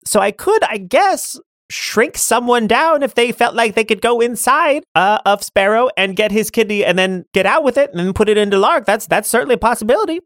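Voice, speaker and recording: A man's voice: high-pitched, animated, brisk, hardly any pauses, fairly bright tone, no room sound, studio quality, voice pushed up. A man voicing a zany female witch who cackles and rambles with silly wordplay. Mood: rambling.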